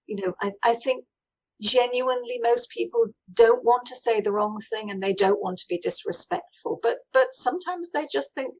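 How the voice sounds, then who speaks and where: A woman's voice: a little high, normal speed, a little mellow, poor audio. A woman talking conversationally as an interviewee, feeling her way through phrases and voicing what other people say. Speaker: charity director being interviewed.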